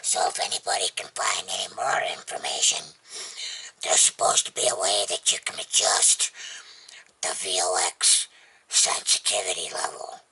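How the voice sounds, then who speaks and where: A vlogger with a husky, breathy, gravelly voice, chatting casually in a rambling, folksy, plainspoken and unhurried way. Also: deep voice, whispered, poor audio. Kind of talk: casual rambling chat to camera.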